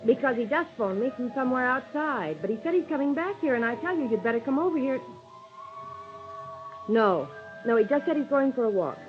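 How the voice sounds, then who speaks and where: High-voiced, scheming radio femme fatale. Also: female voice, lively intonation, a little fast. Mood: hushed urgency. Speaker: radio actress. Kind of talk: 1950s radio melodrama acting. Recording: dull old radio recording with music.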